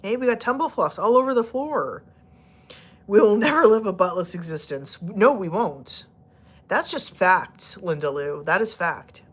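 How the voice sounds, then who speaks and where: A woman's voice: mid-range voice, lively intonation, a little slow, breathy edge. A woman hosting a casual live stream, chatting with viewers and cooing at her cats, laughing often and rambling with fillers as her voice starts to go. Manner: giggly, playful baby-talk to her cats. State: losing her voice. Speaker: livestream host talking to viewers and her cats.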